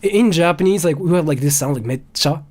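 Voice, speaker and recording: A man hosting a casual stream chat, excitable and quick to laugh, often repeating himself. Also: on the high side. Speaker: streamer host. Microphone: video call.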